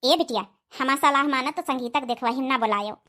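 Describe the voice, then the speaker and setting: Woman playing a kindly village auntie, high bright voice, motherly, advising, Awadhi accent, cartoon comedy. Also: brisk. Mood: cautioning. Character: kindly, protective middle-aged village auntie.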